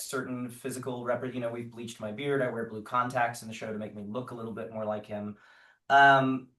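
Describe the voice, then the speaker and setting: A man speaking as an actor being interviewed, casual and reflective, halting and a little self-deprecating as he explains himself. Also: medium pitch, fairly bright tone. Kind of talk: casual thoughtful interview talk. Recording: echoey room, distant microphone.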